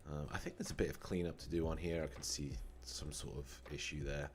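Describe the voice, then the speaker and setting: A man with a slightly croaky, scratchy throat, casually thinking aloud as he streams a tutorial, with fillers and restarts. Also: a bass voice, fairly even intonation, fairly quick. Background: soft background music.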